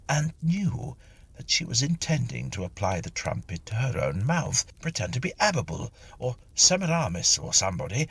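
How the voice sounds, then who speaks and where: A man reading a literary story aloud in an expressive, theatrical narrating style. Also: on the low side, vocal fry, husky, no room sound. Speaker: story reader.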